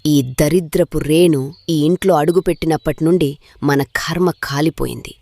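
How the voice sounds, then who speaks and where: Woman acting a low-voiced, bossy mother-in-law bird. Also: normal speed, dark tone. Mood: harsh, scolding. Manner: scolding, bossy. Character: greedy, domineering older mother-in-law bird. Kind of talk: children's animated story dialogue.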